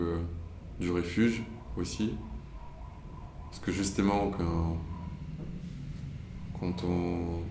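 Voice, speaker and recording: A man's voice: low-pitched, roomy sound, a little hiss or hum. A young man from Ukraine, an actor giving hesitant, reflective interview answers and often searching for words. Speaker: actor interviewed about his play.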